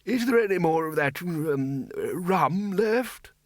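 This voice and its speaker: A creaky-old, chattering wizard, as a man reads him aloud, his voice pitched high and drawn out. He grumbles and rambles with a brittle cheer. The tone stays bright and close, with no room around it. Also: lively intonation, slow pace, dry recording. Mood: chatty. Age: older.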